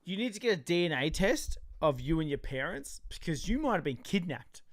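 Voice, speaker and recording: Australian male co-host, rowdy joker, pitched a bit high, crisp and dry. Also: a little fast, no echo. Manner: loud, jokey, excitable, trash-talking. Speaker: podcast co-host. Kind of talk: casual reality-TV recap chat.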